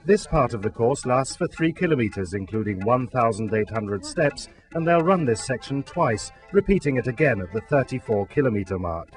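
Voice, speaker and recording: A man reading scripted documentary narration about a race in a steady, measured way. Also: medium pitch, faint music behind.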